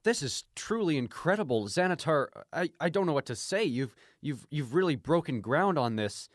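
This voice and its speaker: A man's voice: on the high side, sing-song, normal speed, no echo, clean studio sound. A man playing a polite, earnest and credulous interview host in an improvised radio comedy. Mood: awed.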